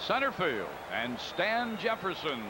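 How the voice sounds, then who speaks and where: A man calling baseball play-by-play in a calm, conversational way, reading out stats between pitches. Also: a little slow. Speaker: play-by-play announcer. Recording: broadcast booth over loud crowd noise. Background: stadium crowd ambience.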